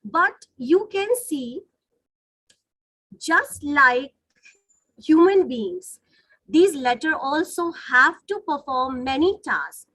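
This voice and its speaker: A woman's voice: high-pitched, lively intonation, takes it slowly, pauses often, fairly bright tone, no room sound, poor audio. A woman with an Indian accent, a native Hindi speaker, teaching an online lesson in a didactic way, rephrasing points and checking in with her listeners. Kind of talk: online lesson, explaining to students. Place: online video call.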